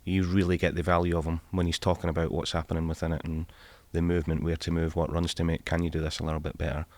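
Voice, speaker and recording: A man co-hosting a podcast, a former coach speaking earnestly with halting restarts. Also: low voice, level tone. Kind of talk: podcast interview.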